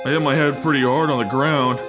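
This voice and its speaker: A man playing an easygoing, dopey young teammate in an audio drama, casual and deadpan. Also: mid-range voice, fast, over music, natural voice. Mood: drowsy, content.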